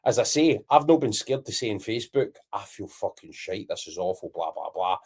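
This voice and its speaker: A man's voice: fairly low pitch, lively intonation, a little fast, a little mellow, breathy edge. A Scottish man co-hosting a podcast, rambling candidly in casual chat, swearing freely and dropping Scots words. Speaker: podcast co-host.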